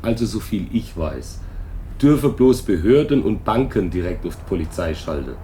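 Low, folksy village policeman. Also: big pitch swings, normal speed, warm tone, a little hiss or hum. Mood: dismissive. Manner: placating, colloquial. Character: unhurried small-town policeman, folksy and dismissive. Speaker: actor in a radio play. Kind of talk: 1980s German radio drama dialogue.

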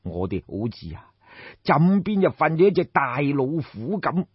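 Guy doing a solemn old court adviser, slow n husky, formal, respectful, audiobook style. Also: fairly high pitch, big pitch swings, no room sound, highs cut off, exaggerated voice. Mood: earnest, cautioning. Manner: measured, formal, deliberate counsel. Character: grave old male royal minister, deferential, shrewd.

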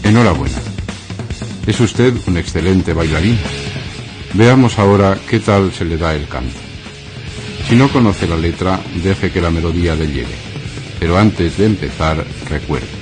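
A man playing a formal, coolly menacing instructor in a dramatic radio intro, solemn and measured over guitar music. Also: low voice, close to an everyday voice. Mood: formal, coaxing. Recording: prominent guitar music behind voice.

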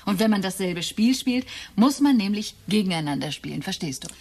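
An actress playing a condescending, needling middle-aged wife in a German radio drama, lecturing smugly like a schoolteacher. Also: female voice, mid-range voice, lively intonation, fairly quick, darkish tone. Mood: animated lecturing. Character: know-it-all wife, psychology-minded teacher, needling and condescending.

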